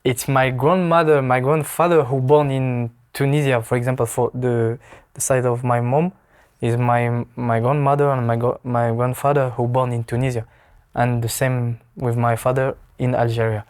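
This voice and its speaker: A young man in his twenties with a French accent, answering interview questions in halting English, searching for words and laughing easily. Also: medium pitch, little pitch movement, darkish tone, clean tone. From France. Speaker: footballer. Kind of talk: casual interview.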